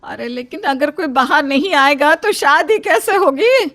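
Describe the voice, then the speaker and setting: A woman playing the worried, practical middle-aged mother of a bride in an animated Hindi story, coaxing and exasperated. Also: high voice, a little slow, pitched above the performer's own voice, full character voice. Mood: exasperated pleading.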